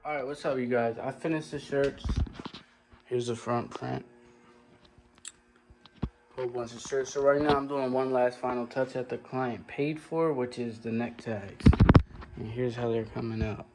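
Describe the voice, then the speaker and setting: A man in his twenties vlogging casually to a phone at arm's length while he works in a basement print shop, talking in a hurried, chatty way. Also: mid-range voice, steady tone, low-quality recording. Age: 20s. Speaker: screen printing business owner. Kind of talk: casual vlog to camera.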